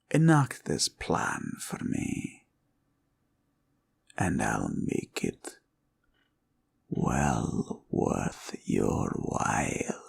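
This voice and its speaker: Deep, gravelly green dragon villain. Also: male voice, heavily acted. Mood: pleased, sly. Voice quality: gravelly, husky. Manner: slow, probing, condescending drawl. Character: scheming, condescending male green dragon villain. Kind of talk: roleplay ASMR villain audio.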